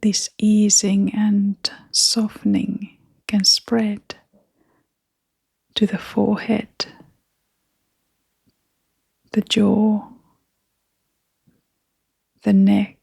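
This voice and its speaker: A woman leading a guided meditation in a gentle, breathy, whispery and creaky voice, speaking in short phrases with long, unhurried pauses. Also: mid-range voice, monotone, dry recording.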